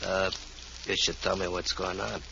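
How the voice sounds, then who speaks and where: An actor playing a menacing gang boss with slangy, mock-courteous streetwise phrasing in an old-time radio crime drama. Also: male voice, low-pitched, flat delivery, moderate pace, vocal fry, sharp tone, full character voice. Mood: quietly menacing. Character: male gangland boss, folksy menacing tough guy. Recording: muffled, hissy old radio recording.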